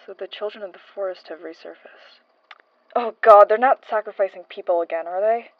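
A woman's voice: medium pitch, a little fast, no room sound, muffled recording, lightly acted. A woman playing a nervous, wryly curious modern archivist with a hesitant manner, in a naturalistic audio drama. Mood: awkward, curious. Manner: hesitant, slightly awkward.

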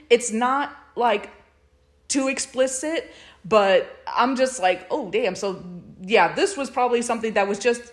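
A woman co-hosting a podcast in casual chat, enthusiastic and giggly as she recaps and recommends stories. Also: mid-range voice. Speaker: podcast co-host.

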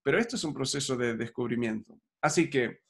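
A man's voice: medium pitch, brisk, fairly bright tone, husky. A man, coaching in a casual chat, upbeat and peppered with fillers. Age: 40s.

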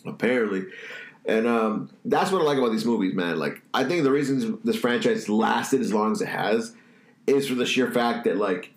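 A man's voice: mid-range voice, expressive, moderate pace. A man hosting a podcast, with a stuffy nose, chatting loosely and bluntly, with some swearing. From New England. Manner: loose, profane, opinionated riffing. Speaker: podcast host. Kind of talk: casual chat. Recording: echoey room.

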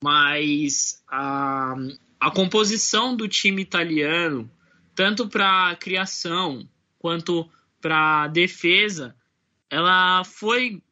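Bright-voiced man, lo-fi audio. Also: high voice. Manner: thoughtful, halting with restarts. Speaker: podcast panelist. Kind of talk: casual sports discussion. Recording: dull lo-fi remote audio.

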